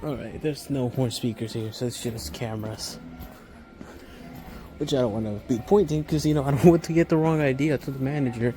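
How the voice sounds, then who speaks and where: A man's voice: medium pitch, a little fast, dark tone. Man rambling casually over background store music. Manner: rambling, hobbyist talk. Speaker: amateur video maker. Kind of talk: casual off-the-cuff walkthrough commentary. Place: inside a large hardware store. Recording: store music playing behind.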